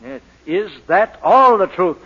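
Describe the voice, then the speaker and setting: Sardonic British cross-examiner, high-voiced and needling, lo-fi vintage radio drama. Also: male voice, expressive, slow pace, a bit bright, full character voice. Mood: sarcastic, pressing. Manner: probing, sarcastic, hesitating for effect. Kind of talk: 1940s radio drama acting. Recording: dull, lo-fi 1940s radio recording.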